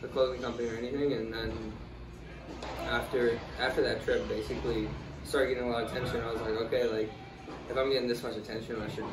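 A young man answering interview questions in a relaxed, casual way, full of fillers. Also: medium pitch, quick pace. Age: young adult. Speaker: guest, tennis player. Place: small room, in doors, seated in armchair. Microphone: far camera. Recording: roomy, distant, noisy.

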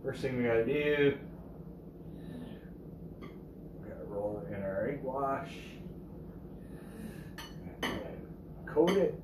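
A middle-aged man casually demonstrating a recipe in his home kitchen, low-key and a little tired. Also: slow pace, pauses often. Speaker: home cook. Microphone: camera across the room. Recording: echoey kitchen with background noise.

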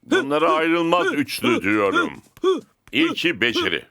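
Bright-voiced, stern cartoon coach. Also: male voice, fairly high pitch, wildly swooping pitch, a little slow, no room sound, exaggerated voice. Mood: instructive. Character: stern, commanding adult male football coach. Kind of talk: dubbed cartoon acting.

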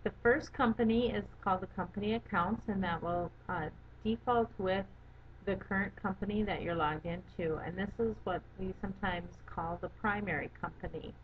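A woman giving a methodical, step-by-step software walkthrough as an instructor. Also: mid-range voice, normal speed. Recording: dull lo-fi audio with background noise.

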